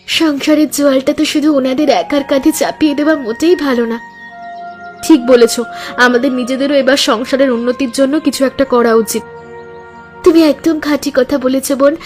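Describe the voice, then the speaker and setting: Woman as high-voiced caring village housewife. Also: natural voice. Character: caring village housewife, earnest and eager to help family. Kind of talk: Bengali cartoon voice acting.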